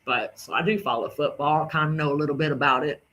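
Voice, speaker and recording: A man's voice: on the high side, fast talker, sharp tone. A man from the Southern United States with a Southern drawl, rambling indignantly through casual commentary on clips and repeating himself. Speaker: commentary creator.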